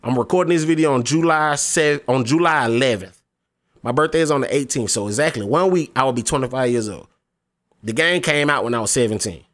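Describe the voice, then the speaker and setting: A man's voice: mid-range voice, brisk, fairly bright tone. Laid-back young man in his twenties. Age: 20s. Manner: laid-back, slangy, eager; pleading, repeats himself. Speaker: gaming YouTuber. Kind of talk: casual discussion vlog.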